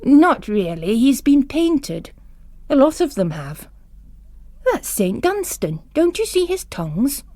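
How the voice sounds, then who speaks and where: A woman's voice: high-pitched, big pitch swings, slow pace, mellow, no echo, pitched above the performer's own voice, full character voice. A woman voicing a weary, sceptical male parish priest with a dry, understated manner in an audio story. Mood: explaining.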